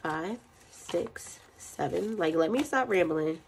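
Young woman kinda stuffy from a cold, rambling chatty vlog talk, bit low voiced. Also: expressive, slow, slight background noise. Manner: chatty, rambling, counts aloud. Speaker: budgeting channel host. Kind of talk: casual chat while counting cash. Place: indoors at a desk.

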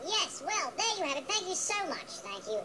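Flustered cartoon chipmunk for kids' radio: high, swooping voice, stammering, defensive. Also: male voice, very animated, brisk, over a noisy crowd. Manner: flustered, stammering, repeats himself. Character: excitable, defensive, insecure male cartoon chipmunk. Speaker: co-host character on a children's radio show. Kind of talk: comedic children's radio character acting. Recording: noisy recording of a radio playing in a room.

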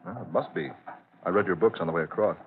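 An actor playing a curious, hearty visiting gentleman in an old-time radio drama, with a genteel, exclamatory manner. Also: sits low, quick pace, noisy background, natural voice. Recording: muffled narrowband old radio recording.

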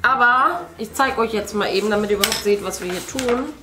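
A woman's voice: fairly high pitch, brisk, over music. A middle-aged woman, chatting casually as she paints indoors. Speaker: host, mother. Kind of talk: casual chat while painting. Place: indoor room at a craft table.

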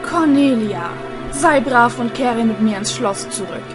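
A stern royal father from a fantasy audio play , acted by a woman. The voice is fairly high and commanding, over clearly audible music. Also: measured pace, natural voice. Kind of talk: amateur audio drama acting.

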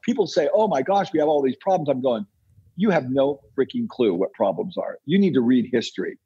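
A man's voice: medium pitch, expressive, brisk, mellow, husky, dull audio. An American man giving opinionated interview answers as a guest, insistent and emphatic, repeating phrases for effect. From United States. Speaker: podcast guest, entrepreneur and author.

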